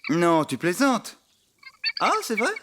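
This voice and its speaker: A man playing an excitable, bossy farm friend in a children's cartoon, expressive and exclamatory. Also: high-pitched, takes it slowly, very noisy, heavily acted. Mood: exasperated. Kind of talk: French children's cartoon dub.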